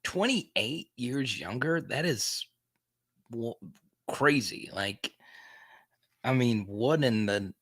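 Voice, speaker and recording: A man's voice: medium pitch, animated, takes it slowly, long pauses, fairly bright tone, no room sound, studio quality. A man in his thirties hosting a sports podcast livestream, chatting casually as he reads viewer comments, laughing often and tripping over restarts. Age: 30s.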